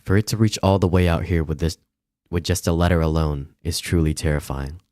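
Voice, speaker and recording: Deep-voiced man narrating a mystery story. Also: steady tone, brisk, darkish tone, no room sound, clean studio sound. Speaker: streamer reading a visual novel aloud. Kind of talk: dramatic scripted narration.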